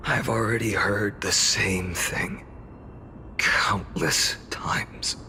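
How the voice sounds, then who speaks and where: A tired wry young hero, low gravelly voice, bright edge, soft music. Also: male voice, fairly even intonation, very slow, whispered, full character voice. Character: weary young hero, man, bound to endless cycles. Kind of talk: video game voice acting. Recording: game audio with music behind.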